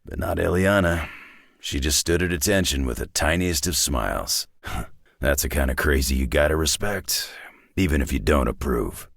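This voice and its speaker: A man voicing a gruff, weary middle-aged superhero brawler for a video game, with a deep raspy growl and terse, dry, folksy tough-guy talk. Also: expressive, normal speed, fairly bright tone, no room sound, exaggerated voice. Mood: wry. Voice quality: deep gravelly rasp.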